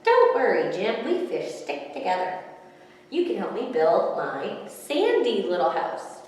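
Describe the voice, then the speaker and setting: A woman's voice: medium pitch, wildly swooping pitch, a little slow, darkish tone. Woman as a plucky little storybook fish, echoey. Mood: reassuring. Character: little fish siblings, young, plucky, defiant. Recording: echoey hall, distant mic, background noise.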